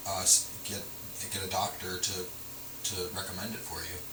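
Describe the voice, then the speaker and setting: Noisy audio, picked up from a distance, carries a man with a fairly low voice. He speaks haltingly and repeats words as he politely argues his case. The delivery is conversational and hesitant. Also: little pitch movement, bright, forceful tone, roomy sound. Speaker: citizen stopped by police, medical marijuana patient. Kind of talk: casual argumentative conversation.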